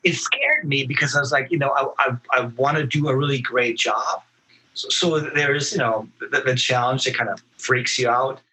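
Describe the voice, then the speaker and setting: A man speaking as an actor guest in a casual interview, halting and earnest, often repeating words as he thinks. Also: mid-range voice, lively intonation, sharp tone, slightly rough, low-quality recording.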